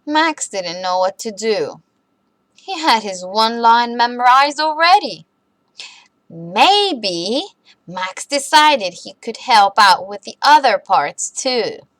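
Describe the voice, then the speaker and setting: Slow, high-pitched woman reading a children's story. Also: no room sound. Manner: animated, deliberate delivery for young children. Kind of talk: expressive read-aloud bedtime story narration.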